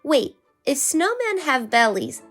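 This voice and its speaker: A woman's voice: high voice, big pitch swings, slow pace, faint music behind, pitched above the performer's own voice, full character voice. A woman voicing an eager little-sister girl who keeps asking wide-eyed questions. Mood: curious.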